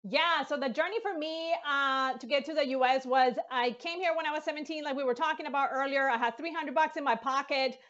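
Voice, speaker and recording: Woman with a high bright voice, talking fast and excited on a video call, a bit muffled. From Colombia. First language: Spanish. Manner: enthusiastic, animated storytelling with laughs. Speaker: NASA mission lead, guest. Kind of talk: interview.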